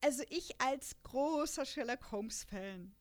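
A young woman hosting a casual chat podcast, talking in a lively, bubbly way and laughing often. Her voice sits a little high. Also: unhurried. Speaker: podcast host.

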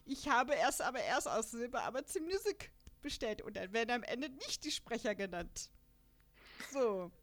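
A young woman hosting a casual podcast, chatting in a lively way and laughing often. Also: a little high, normal speed. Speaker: podcast host. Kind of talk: casual chat.

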